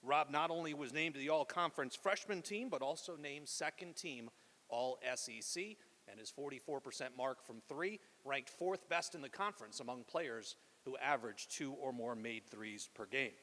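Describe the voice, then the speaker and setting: A man's voice: medium pitch, moderate pace, pushed and bright. A man moderating a press conference with scripted remarks in a polished announcer delivery. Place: large indoor arena press conference. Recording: echoey hall with long reverb.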